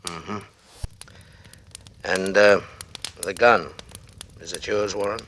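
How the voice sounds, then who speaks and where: Terse low-voiced radio police detective. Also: male voice, steady tone, slow, a bit bright. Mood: stern. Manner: terse, questioning. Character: gruff, skeptical police detective, loyal old friend. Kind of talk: 1940s radio drama acting. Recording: noisy old radio recording.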